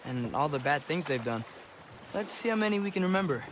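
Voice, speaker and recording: An actor playing a curious, eager teenage son in a video game. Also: male voice, fairly high pitch, lively intonation, a little fast, close to an everyday voice. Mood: playful, curious. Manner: inquisitive, youthful. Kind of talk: naturalistic video game dialogue. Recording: game ambience noise behind voice.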